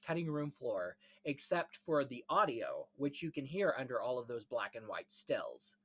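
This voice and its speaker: Male podcast host, mid-range pitch, casual film history chat, enthusiastic, rambling, detail-heavy explanations. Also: very animated, moderate pace, a bit bright.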